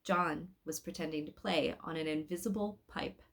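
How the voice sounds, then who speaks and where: A woman's voice: low voice, moderate pace, vocal fry, fairly bright tone. A woman hosting a read-aloud, narrating a storybook expressively with casual asides. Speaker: storybook reader, host.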